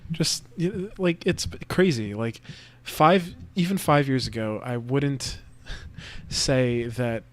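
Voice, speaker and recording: A man's voice: mid-range voice, slow, few pauses. Laid-back man in his twenties. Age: 20s. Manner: laid-back, filler-heavy, crude joking. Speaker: podcast co-host. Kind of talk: casual chat.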